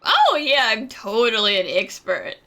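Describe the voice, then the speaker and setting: A woman gamer with a bright, high voice. She reacts casually and playfully with animated intonation and wry jokes. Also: unhurried, a little hiss or hum. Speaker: gamer commenting on a playthrough.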